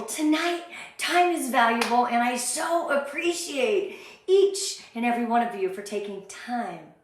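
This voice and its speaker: A middle-aged American woman speaking with gushing, high energy, greeting viewers on a live online motivational training. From Southern California, USA. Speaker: sales director leading a motivational training. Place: indoor room, live stream with ring light. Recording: very echoey, roomy sound.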